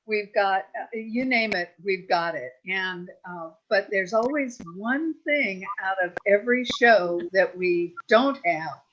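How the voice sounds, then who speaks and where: A woman's voice: mid-range voice, slow pace, few pauses, a bit bright. A woman in a casual panel Q&A, explaining as a sound effects performer and listing details as she goes. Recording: slightly dull, lo-fi audio.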